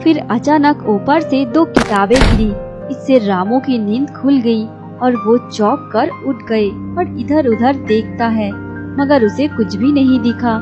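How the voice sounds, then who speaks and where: A woman giving scripted narration for a children's animated story. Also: on the high side, slow pace, muffled recording. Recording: music behind the narration.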